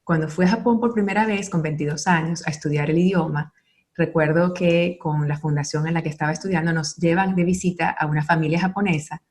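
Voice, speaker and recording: A middle-aged woman from Venezuela, a guest speaking earnestly and thoughtfully in a radio interview, pausing to reflect. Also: deep voice, fairly even intonation, a little mellow.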